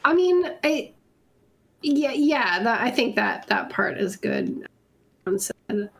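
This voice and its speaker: A woman's voice: on the high side, sing-song, slow pace, creaky, fairly bright tone, husky. A woman chatting casually with a group reading and discussing stories. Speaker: panel member. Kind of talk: casual chat.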